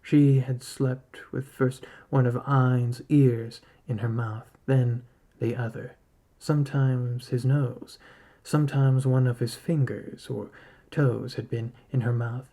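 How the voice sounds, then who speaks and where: A man reads a literary story with a slow, measured delivery. His voice is husky and dark, and the reading is dramatic. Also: medium pitch, steady tone. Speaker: podcast host reading a short story.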